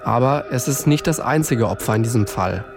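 A man's voice: mid-range voice, animated, a little fast. Man hosting true crime, music behind. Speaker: podcast host. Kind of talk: scripted true-crime narration. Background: music bed.

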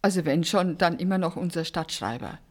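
An actress playing a playful, flirtatious small-town secretary with a breathy, husky, unhurried voice. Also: female voice, lowish voice, lively intonation. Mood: sceptical, defending. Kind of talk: naturalistic film acting.

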